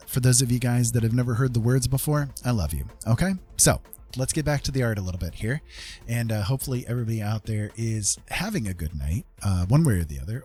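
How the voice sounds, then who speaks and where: A man casually chatting as a livestream host, earnest and encouraging, talking in a halting way with pauses. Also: on the low side, dark tone. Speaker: livestream host and digital artist.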